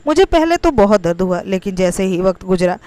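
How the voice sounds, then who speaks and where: A woman's voice: mid-range voice, big pitch swings, fairly quick, warm tone, clear, resonant tone. A woman reading a scripted, first-person emotional story as its narrator.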